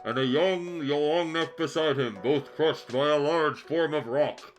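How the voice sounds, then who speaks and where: A man's voice: fairly high pitch, faint music behind, close to an everyday voice. Man doing a grave masked ghost in a Vader voice. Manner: slow, solemn, ominous pronouncements. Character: grave masked male spirit, Darth Vader style.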